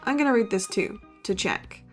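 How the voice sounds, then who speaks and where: A woman's voice: mid-range voice, big pitch swings, moderate pace, soft background music, natural voice. A woman playing a skeptical young college true-crime podcaster in an audio drama, with a dry, sardonic, analytical delivery. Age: young adult. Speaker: podcast co-host. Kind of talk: scripted banter in a horror audio drama.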